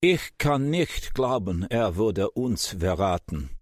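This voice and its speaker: A man's voice: fairly low pitch, big pitch swings, takes it slowly, no echo, clean studio sound, voice pushed up. A man voicing a young German hacker with a German accent, slurring and groggy.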